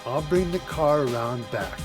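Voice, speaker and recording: A world-weary, resigned middle-aged game character acted by a man talks in an understated way. His voice sits at an ordinary male pitch. Loud music plays right behind him. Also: lively intonation, unhurried, natural voice. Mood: subdued, resigned. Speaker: video game voice actor.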